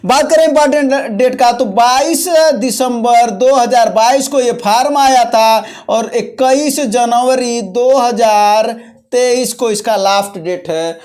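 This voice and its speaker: Informal explainer host, mid-pitched voice, instructional, repetitive on key points, frequent check-in tags. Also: a little slow, darkish tone. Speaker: host of a job-notification video channel. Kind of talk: informal explainer of exam and vacancy details.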